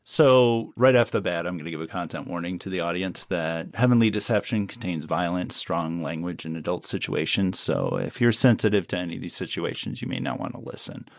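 A man's voice: deep voice, fairly quick, vocal fry, hardly any pauses, no echo, clean studio sound. A middle-aged man from the United States, an audio drama producer, talking casually in an interview with fillers and trailing asides.

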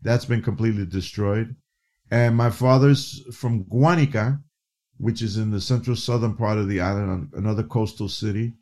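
A man from New City, a guest and educator, telling stories in a casual interview, animated and often restarting his phrases. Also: fairly low pitch, normal speed. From New York City. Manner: animated, restarts phrases, frequent "you know".

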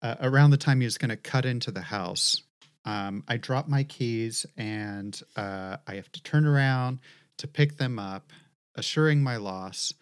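A woman's voice: a bass voice, a little slow, clear, resonant tone. Co-host, middle-aged woman, casual storyteller who swears freely and rambles. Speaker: podcast co-host.

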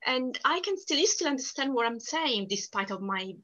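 A woman from Sicily speaks English with a strong Italian accent, her first language being Italian. Her voice is bright and forceful, and she talks earnestly, pushing her points with emphasis and frequent restarts. The sound is dull and lo-fi. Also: high voice, sing-song, normal speed. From Sicily, Italy. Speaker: podcast guest. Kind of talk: casual interview talk.

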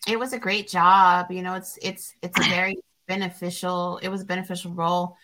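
Woman host, bright edgy tone, lowish pitch, earnest and reflective, halting with fillers. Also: level tone. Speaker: podcast host. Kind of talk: casual podcast interview.

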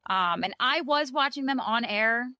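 Bright-voiced woman podcast co-host. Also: fairly high pitch, expressive, no room sound, clean studio sound. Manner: thoughtful, measured, with many fillers. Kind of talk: casual chat about a TV episode.

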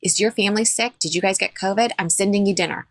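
A woman's voice: medium pitch, fast, no echo, studio quality. A woman dentist, a guest on a podcast interview, giving firm and emphatic advice.

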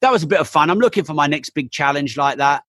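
A man talks as a podcast guest, full of energy and tripping over his own words as he rushes on. His voice is bright and forceful, recorded dry and close with no room sound. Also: on the high side, expressive, no echo. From England. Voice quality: voice still not fully recovered. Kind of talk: casual interview chat.

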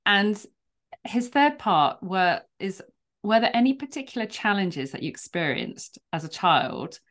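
A woman's voice: medium pitch, sing-song, unhurried, a bit bright. A woman hosting a podcast, chatting with a guest in an effusive, encouraging way. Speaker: podcast host. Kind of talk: conversational interview.

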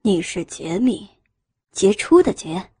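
A man playing a cold, aloof young businessman in a romance audiobook, with a husky voice and stern, restrained delivery. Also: high voice, expressive, slow, warm tone, no room sound, full character voice. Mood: puzzled.

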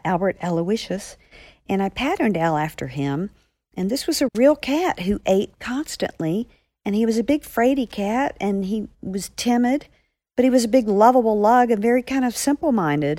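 A woman's voice: medium pitch, darkish tone, no echo. A woman author explaining her stories conversationally to young listeners. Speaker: author and podcast guest.

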